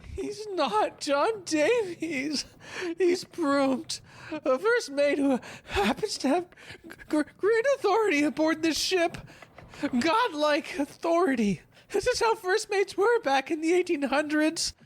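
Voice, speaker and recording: A woman's voice: very high voice, animated, slow, exaggerated voice. A woman playing a panicky, anxious sailor man in an audio drama, frantic and whiny. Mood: fearful.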